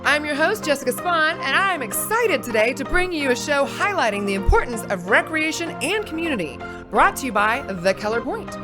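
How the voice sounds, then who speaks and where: A woman's voice: high voice, sing-song, brisk, few pauses, over music. A woman hosting a podcast, bubbly and enthusiastic, chatting with a guest. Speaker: podcast host. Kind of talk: upbeat podcast interview.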